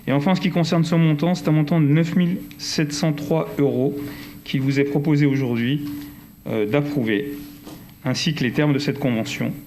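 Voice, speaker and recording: Man, French accent, mid-pitched, councillor's formal presentation, wordy and digressive, often rephrasing, slight background noise. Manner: digressive, wordy, self-correcting. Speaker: local councillor.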